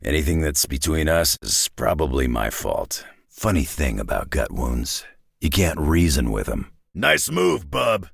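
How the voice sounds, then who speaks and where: A man voicing a gruff, weary middle-aged superhero brawler for a video game, with a deep raspy growl and terse, dry, folksy tough-guy talk. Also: sing-song, unhurried, no echo, exaggerated voice. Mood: tough, taunting. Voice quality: deep gravelly rasp.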